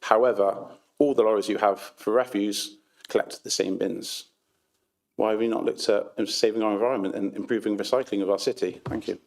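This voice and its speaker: A man reads out his question to a council meeting, his voice sitting slightly low. He is persistent and keeps going when the chair tries to cut him off. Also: steady tone, brisk, vocal fry. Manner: persistent, pushes on when interrupted. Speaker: member of the public asking a question.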